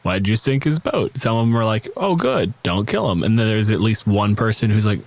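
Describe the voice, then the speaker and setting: Game master, male, lowish voice, describing scenes and crowd reactions in a relaxed off-the-cuff way. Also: fairly quick. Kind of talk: casual improvised tabletop game narration.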